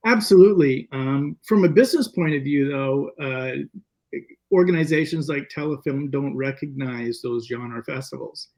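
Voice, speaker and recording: A Canadian man talking casually as a filmmaker guest in an interview, plain-spoken and a little halting. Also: medium pitch, a little mellow.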